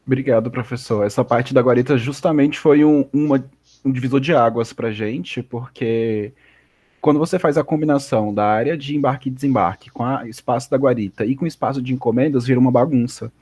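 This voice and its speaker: A male student answering his professor's feedback in a relaxed, explanatory way. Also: medium pitch, fairly quick, dry recording. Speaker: architecture student.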